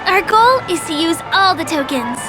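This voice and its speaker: A young female tour guide from a video game. Her voice is high and bright with youth, bubbly and eager in manner. She chats like a friend showing off the sights, over prominent music. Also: expressive, normal speed. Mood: cheerful. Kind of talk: video game character voice acting.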